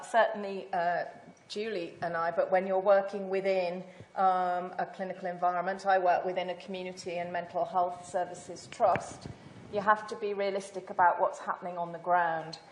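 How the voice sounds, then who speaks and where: A woman in her sixties, a senior British nurse, answering on a panel in a loud, measured voice with frequent fillers and restarts. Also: on the low side. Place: lecture hall. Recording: echoey hall.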